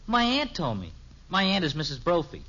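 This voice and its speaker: A man's voice: a little high, huge pitch swings, normal speed, sharp tone, heavily acted. A man playing a friendly, boyish college student babysitter in a 1950s radio sitcom, chummy and eager. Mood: friendly, breezy. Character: friendly, breezy college boy working as babysitter. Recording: noisy, muffled old radio recording.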